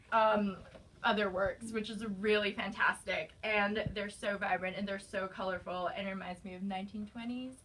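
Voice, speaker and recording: A woman's voice: on the high side, bright, forceful tone, recorded from a distance, slight background noise, poor audio. A young woman recommending fairy tale books in a casual, enthusiastic, chatty way. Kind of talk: casual book recommendation video.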